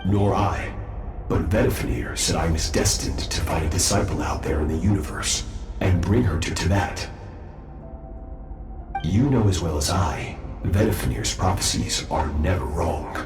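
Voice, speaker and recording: An actor playing a proud, brilliant master who speaks with grand, calm self-assurance, in a video game. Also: male voice, sits low, normal speed, roomy sound, music in the background. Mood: self-assured. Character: proud brilliant young man, a legendary master.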